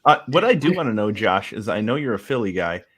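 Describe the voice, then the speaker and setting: A man's voice: lowish voice, very animated, fairly quick, a bit bright, breathy edge. A man in a casual group chat, teasing the others and asking curious questions. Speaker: guest on a beer livestream.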